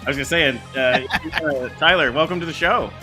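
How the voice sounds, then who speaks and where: A man's voice: a little high, a little fast, bright, forceful tone, faint music behind. A man hosting a podcast, chatting casually as he interviews a guest. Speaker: podcast host.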